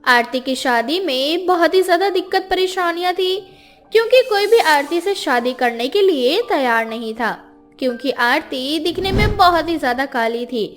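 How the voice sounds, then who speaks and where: A woman narrates a scripted moral story in a steady, storytelling manner. Her voice is high and lively in pitch. Faint music plays in the background. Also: moderate pace. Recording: music bed behind voice.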